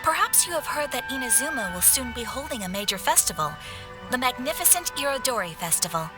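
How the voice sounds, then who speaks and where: A woman voicing a polite, composed young messenger with a formal, measured manner in a video game. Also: high voice, sing-song. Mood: courteous. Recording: music behind the voice.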